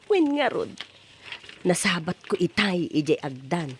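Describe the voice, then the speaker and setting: A woman playing a chatty, gossipy office worker in an Ilocano audio drama, talking in an animated way. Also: lowish voice, slow pace, breathy edge, exaggerated voice. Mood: gossiping. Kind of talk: Ilocano radio drama acting.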